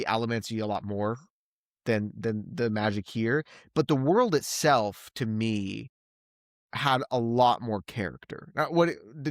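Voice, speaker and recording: A man with a mid-pitched voice talks casually as a review show host. He thinks out loud, often stopping to rephrase. The sound is dry, with very little room in it. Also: no room sound. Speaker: podcast host reviewing books. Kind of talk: casual discussion.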